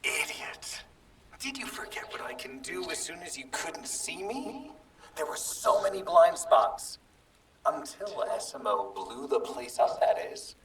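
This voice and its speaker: Sneering traitor, echoey and far off. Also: male voice, on the high side, very animated, measured pace, fairly bright tone, slight background noise. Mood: mocking. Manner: mocking, sneering, theatrical. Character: taunting, embittered young male traitor, sick of military command. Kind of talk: dramatic game cutscene acting. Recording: echoey, distant-sounding call.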